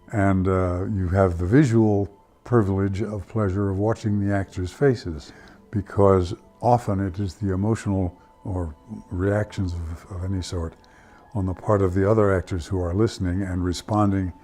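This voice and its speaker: Older man, very deep, mellow voice. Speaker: theatre founder and director. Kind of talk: reflective documentary interview.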